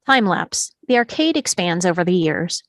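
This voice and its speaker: A woman's voice: lowish voice, sing-song, normal speed, no echo, clean studio sound. A woman narrating scripted stage directions at a screenplay table read.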